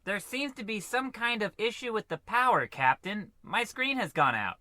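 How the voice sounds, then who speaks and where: A man's voice: high-pitched, lively intonation, normal speed, bright, forceful tone, no echo, full character voice. A man playing a timid, earnest spaceship crewman in a comic radio play, hesitant, stammering and deferential. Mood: nervous, dutiful. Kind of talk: comic old-time radio play acting.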